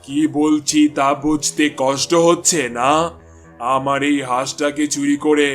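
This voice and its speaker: A man's voice: a little high, level tone, a little slow, fairly bright tone, faint music behind. A man voicing a haughty, bossy village landlord in a cartoon, with a blustering, scolding manner. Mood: angry, accusing. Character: haughty village landlord doting on his pet goose. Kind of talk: cartoon voice acting.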